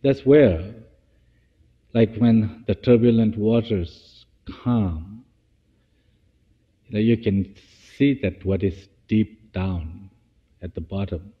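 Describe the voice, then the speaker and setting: A man leading a guided meditation as a teacher, speaking in halting short phrases and restarting as he goes. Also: fairly low pitch, husky. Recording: dull, echoey hall-like sound.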